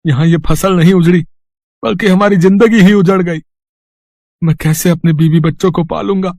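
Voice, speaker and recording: A man playing a poor, loving farmer and father, earnest and melodramatic, in an animated moral story. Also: sits high, normal speed, no echo, studio quality. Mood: anguished.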